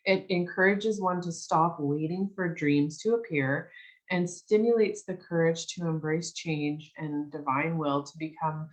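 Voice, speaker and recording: A woman with a slightly low voice, a little croaky from a lingering cold, leads a spiritual workshop. She talks in a gentle, reflective way, pausing with "um" and restarting phrases as she reads and explains. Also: poor audio. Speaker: spiritual teacher leading a new moon circle. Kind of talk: casual workshop talk and reading aloud.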